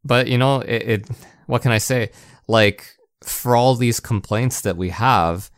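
A man's voice: mid-range voice, unhurried, no room sound, studio quality. A man co-hosting a podcast, giving his opinions on video games in casual conversation. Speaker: podcast co-host. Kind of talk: casual video game discussion.

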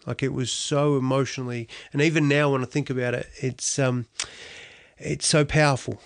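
A middle-aged Australian man speaks as a podcast guest, his voice close and dry with no room sound at all. He talks earnestly, stumbling and restarting often and dropping in the odd mild swear word. His pitch sits in the ordinary middle range. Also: dry recording. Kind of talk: candid interview storytelling.

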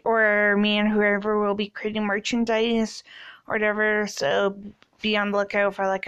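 A woman's voice: mid-range voice, a bit bright. A woman in her twenties from Canada, hosting a casual solo podcast and rambling with many fillers. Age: 20s. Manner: rambling, many ums and likes. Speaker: podcast host.

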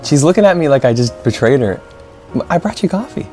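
A man's voice: fairly high pitch, big pitch swings, fairly quick, a little mellow, soft background music. A young man co-hosting a podcast, chatting casually, teasing and laughing often. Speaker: podcast co-host.

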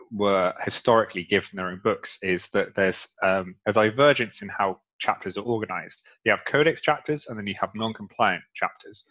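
Man, low voice, co-host, hesitant with lots of ums, muffled lo-fi sound. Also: a bit bright. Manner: hesitant, many ums, explains lore at length. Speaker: podcast co-host. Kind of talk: casual podcast chat.

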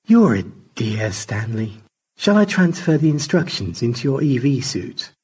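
A man voicing a sweet female AI in a storybook, polite, precise and gently flirtatious. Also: mid-range voice, sing-song, a little slow, husky, pitched above the performer's own voice. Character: sweet, charming female AI on a screen, coolly technical.

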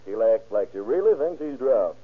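An actor playing a brash, boastful schemer with a husky voice and emphatic delivery in an old radio crime drama. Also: male voice, mid-range voice, very animated, normal speed. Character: brash, overconfident schemer boasting of a foolproof swindle. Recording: tinny, band-limited old radio recording.

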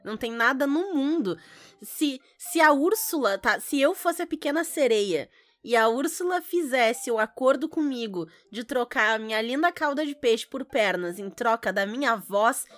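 A woman's voice: on the high side, animated, normal speed, pushed and bright, soft background music. A Brazilian woman co-hosting a podcast, chatting casually in a jokey, teasing way. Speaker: podcast co-host. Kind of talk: casual bantering chat.

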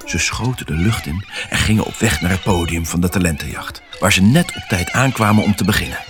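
A man's voice: deep voice, brisk, music in the background. A man narrating a scripted children's story as its storyteller.

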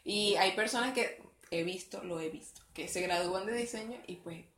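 A young woman with a Venezuelan accent chatting casually as co-host, chatty and quick to agree. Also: mid-range voice, a bit bright, reverberant. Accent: Venezuelan Spanish. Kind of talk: casual chat.

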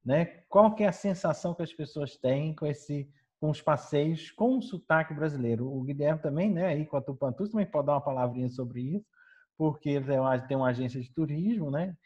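A man's voice: on the high side, a little fast, a little mellow. A man hosting a conversational interview, wordy, circling around his questions with frequent tag questions. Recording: dull, muffled lo-fi audio.

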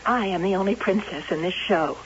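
An actress playing a jealous, bitter carnival dancer in a radio drama. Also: female voice, mid-range voice, fairly quick, natural voice. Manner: sulky, bitter, needling. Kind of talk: 1970s radio drama acting. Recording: hissy, dull old radio broadcast.

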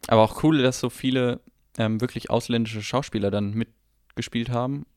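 A man's voice: mid-range voice, fairly even intonation, normal speed, darkish tone, clean tone, no echo, studio quality. A man co-hosting a film podcast, discussing movies in a casual way.

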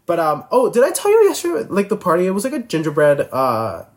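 Rambling twenty-year-old man, lively pitch. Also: quick pace. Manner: rambling, filler-heavy, restarts sentences constantly. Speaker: podcast host. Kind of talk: casual solo podcast chat.

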